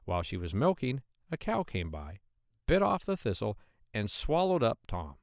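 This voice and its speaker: A man reads a classic children's tale as an audiobook narrator. His mid-pitched voice rises and falls with lively expression, and the sound is close and dry. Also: moderate pace, no echo. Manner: expressive storytelling. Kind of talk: scripted storybook narration.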